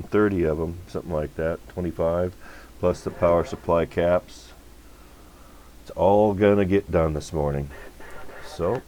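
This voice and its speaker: A man with a deep voice and a dark, relaxed tone hosts a casual workbench demonstration. He talks informally and folksily, rambling along as he explains things. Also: slight background noise. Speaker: host of a radio repair channel.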